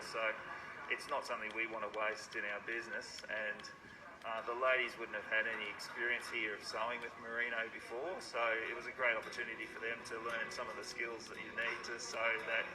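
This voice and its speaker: A man, a business owner relaxedly explaining a sewing project in an interview, with plenty of fillers. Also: mid-range voice. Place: sewing workshop. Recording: echoey room with background noise.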